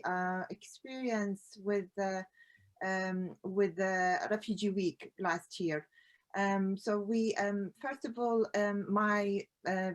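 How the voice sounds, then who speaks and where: A woman from Iraq whose first language is Arabic, giving a conference talk as an artist, pausing often with fillers. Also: mid-range voice. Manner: hesitant, many fillers.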